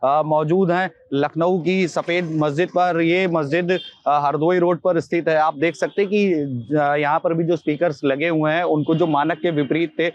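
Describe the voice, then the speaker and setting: Male field reporter, higher-pitched, hesitant delivery, muffled lo-fi and dry sound. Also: steady tone, no room sound. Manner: halting, with drawn-out hesitations. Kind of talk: unscripted on-location news report. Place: on location outside a mosque. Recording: dull, muffled lo-fi field audio.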